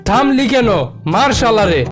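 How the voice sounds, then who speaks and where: A woman's voice: on the high side, moderate pace, close to an everyday voice. A woman voicing a blunt, questioning straight man in scripted cartoon joke skits. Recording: music behind the voice.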